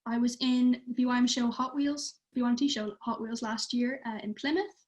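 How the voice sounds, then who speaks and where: A woman's voice: a little high, steady tone, fairly quick. A teenage girl from Ireland, chatting casually on a livestream and using many fillers. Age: teens. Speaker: youth theatre participant.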